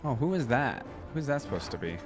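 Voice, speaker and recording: A man's voice: mid-range voice, big pitch swings, brisk. A man hosting a reaction video with casual, slangy running commentary. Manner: informal running asides, slangy. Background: music from the watched video.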